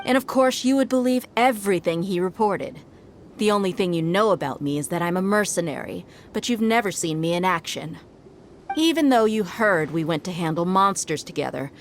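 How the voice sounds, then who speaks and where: An actress playing a shrewd, confident desert mercenary in a video game cutscene, with a wry, matter-of-fact delivery. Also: female voice, lowish voice, a little hiss or hum, close to an everyday voice. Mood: mocking. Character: shrewd, self-assured adult female desert mercenary. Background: background game music.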